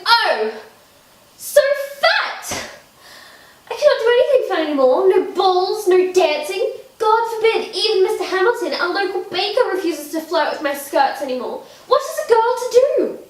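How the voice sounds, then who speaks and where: High female voice, vain petulant young Regency wife, affected genteel phrasing, theatrical period monologue, echoey distant recording. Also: big pitch swings, normal speed. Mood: jealous, petulant. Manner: affected, dramatic, genteel old-fashioned phrasing. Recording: echoey room, distant mic, faint noise.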